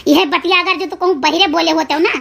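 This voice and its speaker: Squeaky high cartoon village woman, awadhi accent, sharp tongue, super bright, repeats herself. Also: steady tone, fast, gravelly, heavily acted. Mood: contemptuous. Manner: scolding, emphatic, repeats phrases. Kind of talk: cartoon comedy dialogue in rural dialect.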